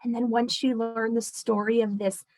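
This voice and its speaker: A woman reading a children's story aloud expressively, pausing to explain and speak directly to her listeners. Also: fairly high pitch, level tone, a little mellow. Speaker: storyteller reading a picture book aloud to an audience.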